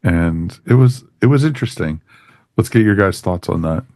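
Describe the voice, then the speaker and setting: Male podcast host, low voice, dry studio-like closeness; informal, conversational review chat. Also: expressive, normal speed, a little mellow, no echo. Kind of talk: casual moderated review chat.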